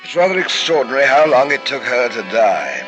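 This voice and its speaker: A man's voice: medium pitch, big pitch swings, brisk, lo-fi sound, natural voice. A calculating male poisoner doctor with a refined manner, voiced by a man in a 1950s radio drama. His delivery is cool and smug, and music plays behind him. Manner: sobbing grief turning to cold, smug confession. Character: grieving then gloating male poisoner doctor.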